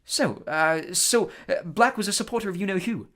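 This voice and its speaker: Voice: mid-range voice, moderate pace, a bit bright, voice pushed up, close to an everyday voice. A reader voicing a nervous, apologetic teenage wizard boy who speaks hesitantly.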